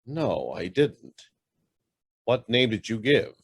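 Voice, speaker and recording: A man's voice: lowish voice, big pitch swings, takes it slowly, breathy edge, heavily acted. An actor playing a curt, demanding middle-aged businessman who is suspicious and hiding something, in a radio drama. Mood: uneasy, probing. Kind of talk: stage-style radio drama acting.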